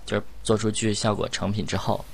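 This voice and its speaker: A man's voice: lowish voice, fairly even intonation, a little fast, vocal fry, slight background noise. A man hosting a group interview, asking questions in a casual, chatty way.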